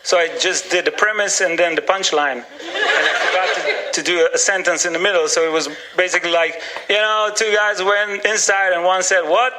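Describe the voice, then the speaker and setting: A man from Slovenia, a stand-up comedian talking on a link from a small radio studio, easygoing and deadpan. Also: sits high, fairly quick, background noise. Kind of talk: interview over a studio link.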